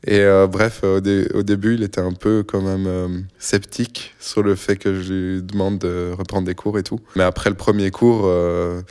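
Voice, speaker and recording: Low, flat-voiced Belgian man. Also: normal speed, few pauses, smooth. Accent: Belgian French. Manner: relaxed, hesitant, many fillers. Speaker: musician. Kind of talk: casual interview answers.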